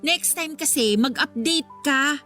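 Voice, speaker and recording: A woman's voice: high voice, slow pace, pushed and bright, soft background music, exaggerated voice. A woman with a Filipino accent playing a defiant, argumentative mistress who talks back in a radio drama. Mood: defensive, sassy. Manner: sassy, argumentative.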